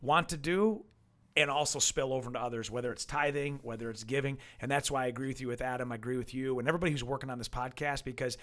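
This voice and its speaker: A man's voice: medium pitch, fast, fairly bright tone. A man co-hosting a podcast, speaking emphatically and pressing questions in casual conversation. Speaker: podcast co-host.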